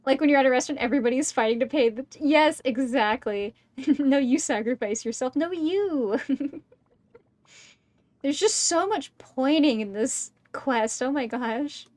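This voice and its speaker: A woman's voice: high-pitched, normal speed, a little mellow, dry recording. A woman livestreaming a game with casual, playful, irreverent reactions and some swearing. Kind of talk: casual live reaction commentary.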